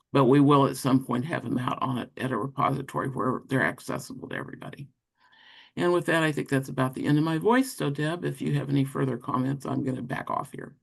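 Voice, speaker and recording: A man giving an informal walkthrough of slides on a work call, speaking haltingly and often correcting himself. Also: medium pitch, creaky. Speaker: presenter on an educators' call.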